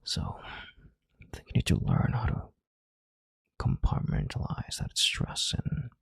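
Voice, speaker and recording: A man playing a calm, domineering CEO in an intimate audio roleplay, with a deep, gravelly, breathy voice and a measured, condescending yet tender manner. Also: no echo, studio quality, natural voice. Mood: analytical, controlled. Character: calm, domineering male CEO soothing a panicked partner.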